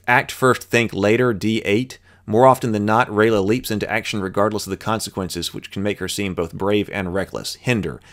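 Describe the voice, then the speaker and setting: Low-voiced man reading game rules. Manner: reads rules aloud, then thinks out loud with asides. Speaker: channel host.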